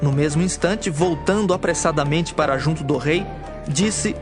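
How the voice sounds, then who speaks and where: A man narrates scripture from a script. His voice is fairly high for a man. It is a dramatized Bible narration with music clearly playing underneath. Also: moderate pace. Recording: clear music bed behind.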